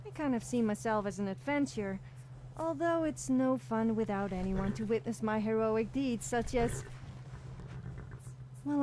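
A woman's voice: a little high, a little mellow, a little hiss or hum, lightly acted, poor audio. A woman voicing a cheeky, boastful young adventurer in a fantasy game, with a sassy, theatrical manner. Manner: sassy, theatrical, self-important. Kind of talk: scripted fantasy game character dialogue.

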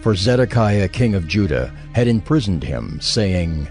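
Deep-voiced, formal male scripture narrator. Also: very animated, a little mellow. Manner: measured, formal. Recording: music behind the voice.

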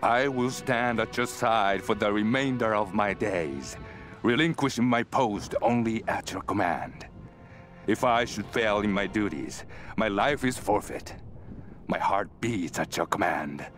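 An actor playing a solemn, devoted general in a video game, speaking formally with resolute deliberation. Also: male voice, on the low side, lively intonation, measured pace, a bit bright. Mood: solemn devotion. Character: solemn, devoted male general of an ancient army. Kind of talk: acted oath and speech. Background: music.

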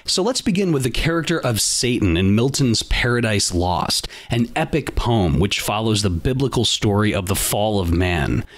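A man hosting a podcast, delivering a scripted monologue in an earnest, emphatic way. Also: on the low side. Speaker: podcast host.

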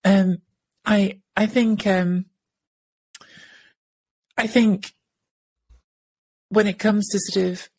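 Woman in her 30s chatting on a video call, husky gravelly voice, pretty flat, keeps restarting. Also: mid-range voice, extremely slow, pauses often, no echo. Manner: thoughtful, many restarts and hedging fillers. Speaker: podcast co-host. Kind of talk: casual podcast discussion. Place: home room with bookshelves, indoors. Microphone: earphone headset on video call.

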